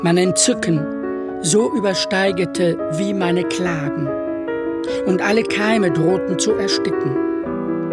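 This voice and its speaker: A woman reciting rhymed poetry in the style of a literary reading. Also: fairly low pitch. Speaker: poetry reader. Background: prominent piano music.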